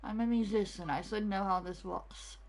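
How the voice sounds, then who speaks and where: A woman's voice: mid-range voice, fairly even intonation, normal speed, darkish tone, faint music behind. A woman giving casual gameplay commentary, thinking aloud as a streamer. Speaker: game streamer.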